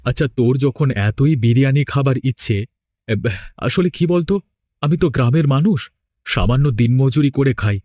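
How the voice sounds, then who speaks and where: A man's voice: mid-range voice, animated, moderate pace, mellow, dry recording, studio quality, close to an everyday voice. A man voicing a plain-spoken, fretful and scolding village man in a horror cartoon. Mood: worried.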